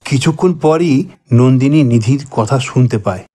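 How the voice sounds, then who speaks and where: A man reading a scripted cartoon ghost story, with deliberate, drawn-out phrasing. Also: medium pitch, mellow, no echo. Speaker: story narrator voicing old friends. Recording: dry voice over background music. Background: music.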